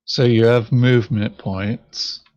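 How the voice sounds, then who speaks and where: A man's voice: medium pitch, fairly even intonation, slow pace, a little mellow, dry recording, highs cut off. A man chatting casually as a board game player, giving short, hesitant answers. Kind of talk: casual chat while planning a board game session.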